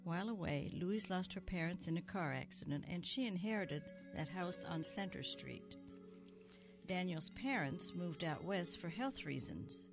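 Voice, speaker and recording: A woman playing a kindly elderly retired librarian in an audio drama, reminiscing gently and sorrowfully. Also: fairly low pitch, expressive, unhurried. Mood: wistful, sad. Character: kindly, wistful elderly female retired small-town librarian. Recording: music under the voice. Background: music.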